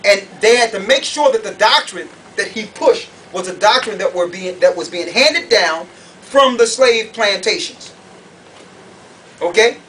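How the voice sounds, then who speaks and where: A male preacher with a notably high-pitched voice delivers a fiery, confrontational sermon. He leans on emphatic rhetorical questions as he addresses his audience. The recording is picked up from a distance with some background noise. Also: animated, unhurried, a bit bright. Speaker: preacher, conference speaker. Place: conference room or hall. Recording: distant mic, noisy room ambience.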